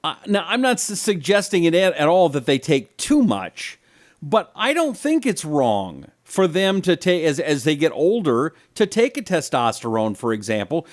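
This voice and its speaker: A man with a slightly high voice and a very lively swing in pitch. He co-hosts a health chat in a joking, animated way, asking plenty of questions. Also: normal speed. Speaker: co-host. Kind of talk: casual chat.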